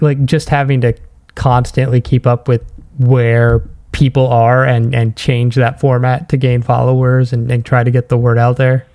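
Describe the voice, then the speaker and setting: A man hosting a podcast, asking loose, halting questions in a casual interview. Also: mid-range voice, a little mellow.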